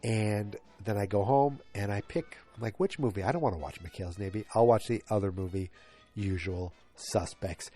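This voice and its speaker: A man's voice: fairly low pitch, moderate pace, mellow, faint music behind. A man in his forties with a stuffed-up, congested voice, hosting a solo podcast and rambling with many restarts and fillers. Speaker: podcast host. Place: home, late at night.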